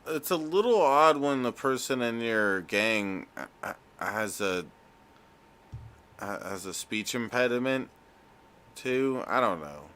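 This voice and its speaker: A man's voice: mid-range voice, expressive, takes it slowly, a bit bright, clean tone. A man co-hosting a podcast, giving casual true-crime commentary in a hesitant, joking way. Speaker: podcast co-host.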